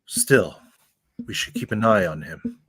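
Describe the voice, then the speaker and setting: A man's voice: fairly low pitch, huge pitch swings, a little slow, a bit bright, heavily acted. A man playing a principled professor and mentor in a radio play, with a grave, firm manner. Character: stern, principled male mentor professor of superheroes. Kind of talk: radio-play script reading.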